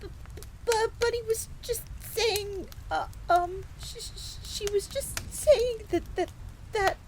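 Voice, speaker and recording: A woman's voice: extremely high pitch, slow, a little mellow, slight background noise, heavily acted, poor audio. A woman playing a devoted, easily flustered young bunny maid in a roleplay audio drama, speaking of herself in the third person. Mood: flustered, stammering.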